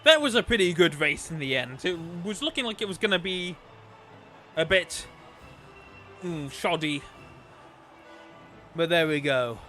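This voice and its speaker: A man's voice: a little high, lively intonation, a little slow, pauses often, bright, forceful tone, faint music behind. A man commentating a sim racing league on a live stream, chatty and rambling, losing his voice. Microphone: desktop microphone.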